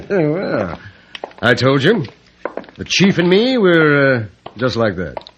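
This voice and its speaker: An actor playing a smug, corrupt fixer with a husky voice and insinuating courtesy in a 1950s radio drama. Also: male voice, a little high, sing-song, takes it slowly, noisy background. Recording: dull vintage radio recording.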